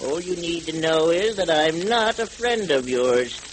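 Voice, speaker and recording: An actor playing a menacing, righteous hooded vigilante in an old radio adventure serial, speaking in deliberate, threatening declamation. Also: male voice, on the high side, close to an everyday voice. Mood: vengeful, threatening. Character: menacing hooded masked avenger, grimly righteous male vigilante. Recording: crackly old radio recording, steady hiss.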